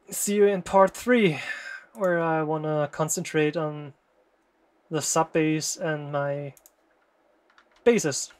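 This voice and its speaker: Slow, halting German-accented producer. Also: medium pitch. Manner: hesitant, halting, many fillers and short pauses. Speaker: music producer. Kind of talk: screen-recorded production walkthrough.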